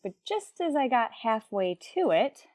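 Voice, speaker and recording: An actress playing a wry, quick-witted high school teacher in an old-time radio comedy, arch and polite in manner. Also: female voice, very animated, heavy background noise. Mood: wry narration. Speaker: lead actress.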